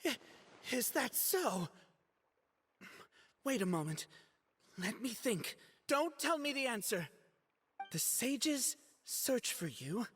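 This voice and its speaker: Flustered, proud young student in reverb. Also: male voice, very high-pitched, very animated, slow, pauses often, fairly bright tone, slight background noise, heavily acted. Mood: puzzling, flustered. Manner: hesitant, earnest, defensive. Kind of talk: dramatic game character acting.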